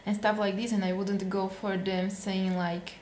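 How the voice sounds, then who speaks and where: A woman's voice: medium pitch, flat delivery, normal speed, darkish tone, echoey room, a little hiss or hum. A young woman from Slovakia with a Slovak accent, venting casually to camera in a vlog, with many fillers. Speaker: vlogger.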